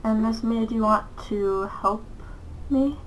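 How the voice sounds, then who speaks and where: A woman casually role-playing a video game's player lines aloud, reading in a halting, deliberate way. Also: fairly high pitch, a little hiss or hum, low-quality recording. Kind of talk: casual role-play story-time narration of a video game.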